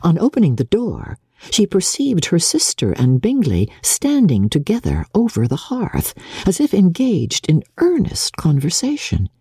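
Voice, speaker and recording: A woman reads a classic novel aloud as an audiobook narrator. Her voice is low and husky with a mellow tone, rising and falling expressively as she tells the story in measured phrases. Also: huge pitch swings, no room sound, studio quality. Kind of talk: dramatic reading of classic literature.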